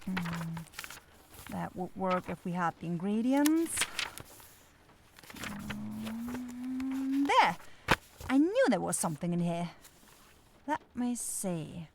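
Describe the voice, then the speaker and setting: Flustered young forest witch female actor, fantasy audio roleplay. Lively swooping pitch, unhurried, dithering, kind-hearted. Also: noisy background. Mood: focused, muttering. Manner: dithering, halting, searching for words.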